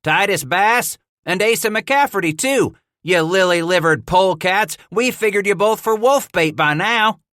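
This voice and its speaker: A man's voice: fairly high pitch, animated, measured pace, pushed and bright, no echo, studio quality, pitched above the performer's own voice. A man doing a hollering, bragging mountain man. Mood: boisterous. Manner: hollering backwoods drawl. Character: boisterous rowdy male mountain man, loud braggart.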